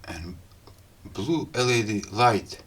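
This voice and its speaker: A man with a fairly low voice and a non-native English accent talks through a gadget demonstration. His delivery is very slow and casual, broken into short phrases with pauses between them. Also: expressive, fairly bright tone, breathy edge. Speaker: gadget review host. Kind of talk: casual hands-on unboxing and product demo talk.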